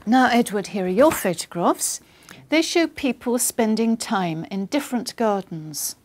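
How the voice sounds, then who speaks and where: A woman's voice: on the high side, lively intonation, slight background noise. Woman asking formal exam questions. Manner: formal, clear and measured. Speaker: exam interlocutor.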